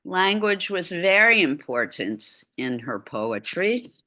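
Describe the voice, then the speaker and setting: Middle-aged woman, low bright voice, unhurried lecturer on a video call. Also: big pitch swings. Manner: slow, chatty asides while lecturing. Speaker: art lecturer. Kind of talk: online art lecture.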